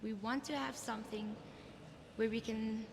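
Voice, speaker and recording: A hoarse woman presenting awards in a formal, emphatic, measured speech at a dinner. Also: a little high, steady tone, noisy background. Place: indoor banquet hall. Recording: echoey hall with background chatter.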